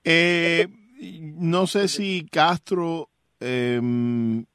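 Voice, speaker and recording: A man's voice: on the high side, very slow, a bit bright, clean tone, no echo, clean studio sound. A man hosting a casual sports interview, reacting and interjecting with short questions and exclamations. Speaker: host interviewer.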